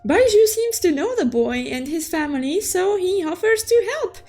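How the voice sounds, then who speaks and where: A woman voicing a cute, excitable young unicorn who recaps a story with playful, theatrical exclamations. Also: very high-pitched, normal speed, soft background music. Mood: playful storytelling. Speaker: storytelling host. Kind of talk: animated story recap narration.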